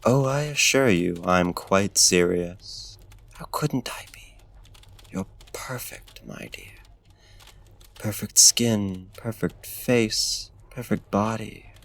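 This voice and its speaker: Man acting a slow, low, creaky seductive vampire. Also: a bit bright, heavily acted. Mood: smooth, seductive. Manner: formal, archaic phrasing, slow deliberate pauses, courtly endearments. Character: suave, predatory ancient male vampire, seductive and menacing. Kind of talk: ASMR roleplay, intimate theatrical monologue.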